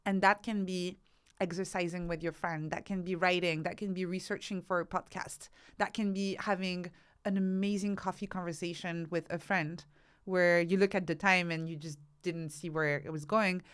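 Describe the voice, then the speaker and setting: A woman's voice: fairly low pitch, steady tone, normal speed, clean tone. A woman speaking as an interview guest, explaining her ideas thoughtfully and emphatically, often building points through lists of examples. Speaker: interview guest, author and researcher.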